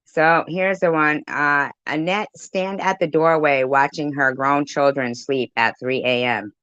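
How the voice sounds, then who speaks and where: A woman's voice: deep voice, fairly even intonation, unhurried, a bit bright, clean tone, dry recording, dull audio. A woman doing casual reaction commentary in an accusatory, sarcastic way. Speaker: commentary video host. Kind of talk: casual reaction commentary on a live stream clip.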